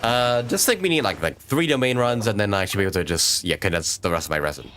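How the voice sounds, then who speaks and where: A man's voice: fairly low pitch, lively intonation, fast, a bit bright, a little hiss or hum. A man chatting casually while livestreaming a video game, hesitant and rambling as he thinks aloud. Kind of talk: casual gaming livestream chat. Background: video game music.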